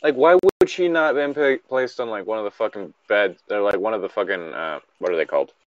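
A man's voice: fairly low pitch, a little fast, no echo. A man casually reading a story aloud, with irreverent, sweary joking asides. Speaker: co-host reading stories aloud. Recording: dry, dull lo-fi microphone.

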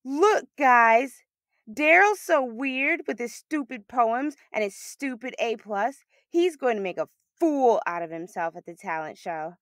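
A woman's voice: fairly high pitch, expressive, measured pace, fairly bright tone, no room sound, clean studio sound, pitched above the performer's own voice. A woman voicing a scornful, taunting schoolgirl bully in a children's storybook.

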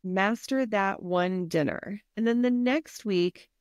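A woman's voice: mid-range voice, takes it slowly, clean tone, dry recording, clean studio sound. A woman health and wellness coach, a podcast guest, talking in an earnest, encouraging, practical way. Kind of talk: podcast interview.

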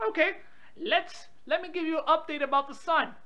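A woman's voice: extremely high pitch, fairly even intonation, a little fast, pushed and bright. A woman with an irritable, confrontational manner, bantering on a phone call in dull lo-fi audio. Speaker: prank call participant. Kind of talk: phone banter, reading out weather updates.

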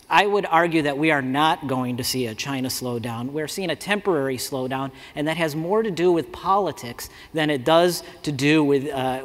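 A man in his forties giving a conversational conference talk at a lectern mic, with many fillers and restarts. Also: on the high side. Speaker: conference speaker. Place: large conference hall. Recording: reverberant hall.

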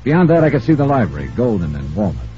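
An actor playing a brisk, wry insurance investigator in a 1950s radio detective drama, narrating crisply in the first person. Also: male voice, fairly low pitch, big pitch swings, dark tone. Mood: brisk narration. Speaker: narrator and lead of a detective serial. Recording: muffled old radio recording with music.

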